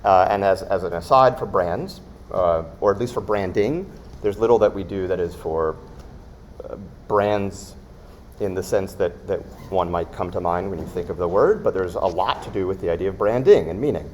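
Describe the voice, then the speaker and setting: Man, guest lecturer, low voice, thoughtful asides, slight room noise. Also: normal speed, a little mellow. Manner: thoughtful, hesitant, with ums and asides. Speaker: guest speaker, creative director. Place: lecture room without a microphone.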